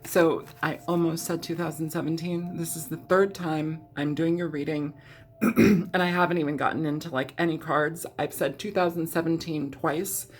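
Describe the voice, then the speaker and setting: A woman reading tarot in a chatty, meandering way, hoarse and scratchy from a cold, often clearing her throat. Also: deep voice, steady tone, normal speed, faint music behind. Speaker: tarot reader. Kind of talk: casual tarot reading monologue.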